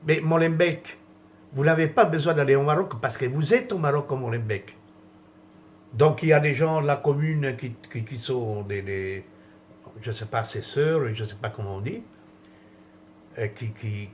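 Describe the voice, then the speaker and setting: A man's voice: mid-range voice, a little hiss or hum. A man from France in a rambling, indignant rant, with many restarts and trailing phrases.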